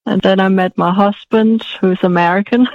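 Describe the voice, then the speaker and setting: A woman's voice: mid-range voice, steady tone, normal speed, dry recording, highs cut off. A woman in her forties raised in Denmark, answering interview questions hesitantly with many fillers and restarts. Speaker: guest, author.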